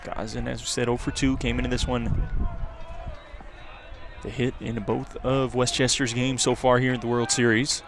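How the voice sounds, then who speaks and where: A man's voice: medium pitch, little pitch movement, normal speed. A man giving live play-by-play baseball commentary as a radio announcer. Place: outdoors at a baseball stadium. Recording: clear outdoor ambient noise.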